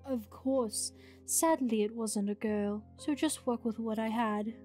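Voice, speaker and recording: Woman acting scheming goddess mother, mellow voice, imperious, measured, fantasy game roleplay, music underneath. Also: a little high, lightly acted. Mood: persuasive.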